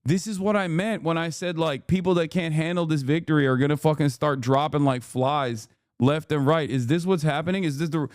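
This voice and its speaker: A man's voice: a little high, smooth, no echo, clean studio sound. A man in his thirties with a Texan accent, hosting a live stream with hyped, profane, rambling commentary. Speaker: streamer host.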